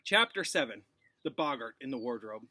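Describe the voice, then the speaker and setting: A man, a teacher, reading a storybook aloud in an expressive narrating style. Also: mid-range voice, normal speed.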